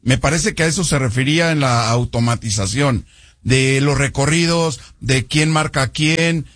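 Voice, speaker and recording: A man's voice: medium pitch, moderate pace, fairly bright tone, no room sound, clean studio sound. A man co-hosting a sports radio show, commenting in a conversational way.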